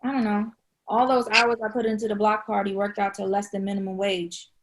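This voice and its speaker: A woman's voice: mid-range voice, little pitch movement, fairly quick, lightly acted. A woman playing an anxious, broke and dramatic young woman with a sarcastic, slangy manner in a comedy table read.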